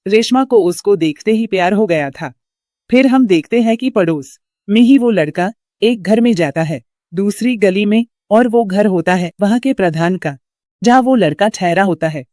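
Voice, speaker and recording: Female storyteller, scripted narration, middle pitch, dry recording. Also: animated, normal speed, dark tone, dry recording.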